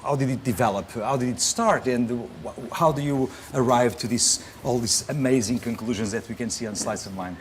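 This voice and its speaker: A man's voice: mid-range voice, moderate pace, few pauses, a little hiss or hum. A man with a European Portuguese accent hosting a TV talk show, translating between English and Portuguese with many fillers and restarts. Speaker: TV show host. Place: TV studio with audience.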